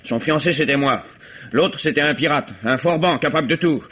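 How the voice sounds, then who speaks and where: An actor playing a bitter, jealous local man with a husky voice and a brooding, muttering manner in a 1950s-style radio drama. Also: a little high, normal speed, a bit bright.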